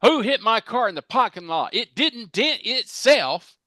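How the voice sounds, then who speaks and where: Performer doing a whiny naive teen girl plaintiff, animated, bright voice, dry sound, sketch read. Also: mid-range voice, unhurried, no room sound. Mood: indignant. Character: naive indignant eighteen-year-old young woman plaintiff. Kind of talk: live comedic table read of a sketch.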